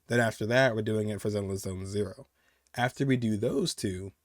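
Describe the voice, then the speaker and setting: Low-pitched man, casual gaming countdown host. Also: dry recording, studio quality. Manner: informal, rambling, emphatic. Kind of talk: casual excited countdown commentary.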